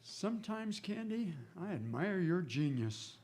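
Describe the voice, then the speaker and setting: Woman as tough, animated radio police inspector. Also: lowish voice, unhurried. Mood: wry, amazed. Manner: hard-boiled, commanding, punchy. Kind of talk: 1940s radio detective drama acting.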